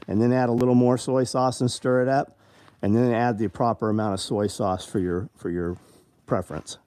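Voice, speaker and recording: A man's voice: mid-range voice, steady tone, normal speed, mellow. A man in his fifties hosting a casual live show, answering viewer comments in a blunt, rambling and profane way. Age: 50s.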